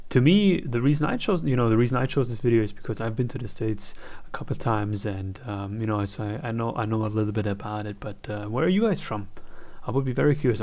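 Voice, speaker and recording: A man's voice: medium pitch, darkish tone. A young man in his twenties with a German accent, chatting casually as he reacts to a video, rambling and restarting his sentences. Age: 20s.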